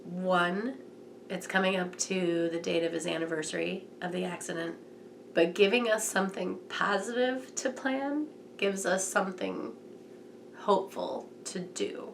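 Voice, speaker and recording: A mother speaking in an interview in an earnest, measured way. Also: on the low side, vocal fry, slight background noise. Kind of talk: reflective interview.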